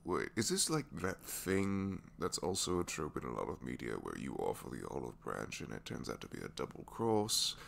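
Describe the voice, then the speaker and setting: A man's voice: a bass voice, wildly swooping pitch, normal speed, vocal fry, few pauses, fairly bright tone, heavily acted. A man playing a reluctant, secretly kind supervillain inventor in an ASMR roleplay, hesitant, wry and self-deprecating, rambling and sometimes dropping toward a whisper. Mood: wary, surprised. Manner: hesitant, wry, rambling, trailing tag questions, sighs, drops to whispers. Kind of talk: ASMR roleplay audio drama, one-sided conversation.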